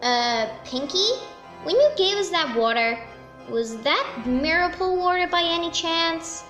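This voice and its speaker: Very high voice, woman acting as brash female pony, sarcastic, hot-tempered, cartoon fan drama, roomy sound, loud music behind. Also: expressive, measured pace, heavily acted. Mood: puzzled. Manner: sarcastic, accusatory.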